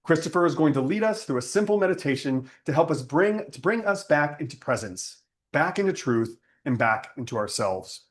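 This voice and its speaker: A man with a fairly high voice leads a spiritual service. His pitch moves with lively variation through solemn, deliberate phrases, in the manner of prayer and teaching.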